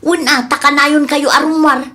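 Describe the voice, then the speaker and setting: A woman's voice: sits high, steady tone, moderate pace, sharp tone. A woman playing a nagging, suspicious, grumbling wife in a comedy radio drama, with a Filipino accent. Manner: complaining.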